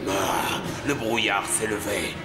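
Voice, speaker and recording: A man playing a cruel, mocking, theatrical villain in an animated series, with music behind him. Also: fairly low pitch. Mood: mocking glee. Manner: mocking, slow, theatrical delivery. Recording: echoey, loud music underneath.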